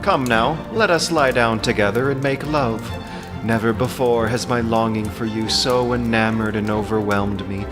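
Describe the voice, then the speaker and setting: A man's voice: mid-range voice, moderate pace, over music, lightly acted. A man playing a vain, amorous Trojan prince, pleading and boasting in a declamatory, wooing way in an epic audio drama.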